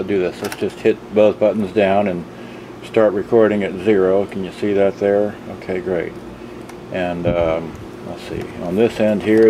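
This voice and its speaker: A middle-aged man giving a casual hands-on product demonstration, rambling and thinking aloud in an offhand way. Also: fairly low pitch. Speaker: gadget reviewer. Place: indoors at a table, handling devices. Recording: noisy room with steady background hum.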